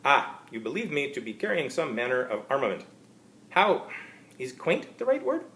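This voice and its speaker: Man voicing a lively, stiltedly formal time traveler. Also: medium pitch, normal speed, voice pushed up. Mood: stiltedly polite. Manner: stilted, overly formal wording. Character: eccentric male time traveler, stiltedly formal and oddly polite. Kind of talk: amateur storybook reading of character dialogue.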